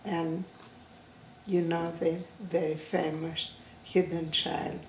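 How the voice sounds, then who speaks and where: A woman in her eighties from Greece, giving an oral history interview, talking slowly with many halting restarts. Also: lowish voice, level tone, slight background noise. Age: 80s. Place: indoor room, seated in a chair. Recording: roomy, slightly distant sound.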